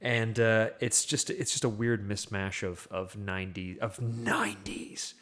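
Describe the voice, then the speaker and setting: A man on a podcast panel, discussing films casually in a halting, rambling way. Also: fairly low pitch, steady tone, a bit bright.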